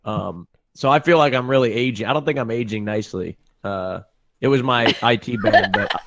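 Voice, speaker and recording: A man's voice: lowish voice, normal speed, heavy background noise. A man in his twenties chatting as a streamer guest, laid-back and hedging with fillers. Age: 20s. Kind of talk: casual group chat.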